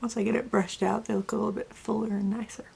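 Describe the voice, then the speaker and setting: A woman's voice: medium pitch, little pitch movement, fast talker, creaky, darkish tone, slightly rough. Snarky young woman, faint Southern twang. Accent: slight Southern US. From Connecticut and the US South. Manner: snarky, chatty, muttering asides. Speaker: beauty vlogger. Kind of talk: casual get-ready-with-me chat.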